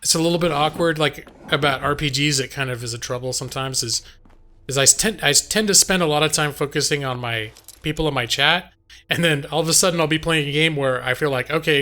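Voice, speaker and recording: Bright-voiced, higher-pitched man streaming. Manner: rambling, conversational, addresses chat directly. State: slightly hoarse. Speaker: live game streamer. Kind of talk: casual chat with viewers while gaming. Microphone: close microphone on a boom arm.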